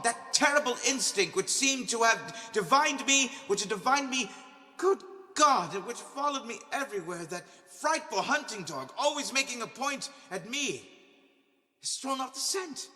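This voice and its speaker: A tormented male ex-convict turned benefactor, acted out by a man in an audio drama monologue, speaks in a long, hall-like reverb. His voice is bright and forceful. He argues with his own guilt in theatrical, agonized questions. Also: very high-pitched, heavily acted. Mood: agitated, relieved.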